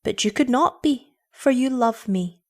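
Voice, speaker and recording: A woman voicing a gentle, loving mother who speaks tenderly and with quiet firmness. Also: a little high, measured pace, pitched above the performer's own voice. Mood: gentle sadness. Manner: tender, soothing. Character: gentle loving mother of small children, sadly firm.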